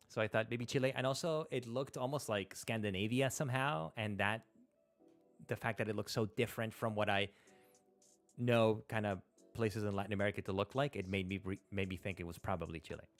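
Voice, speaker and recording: Low-voiced man thinking aloud on stream. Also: a bit bright. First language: Spanish. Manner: thinks aloud, hesitant, many fillers and restarts. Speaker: livestream host. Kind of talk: casual live-stream commentary while playing. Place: small room at a desk. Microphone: close studio mic on a stand.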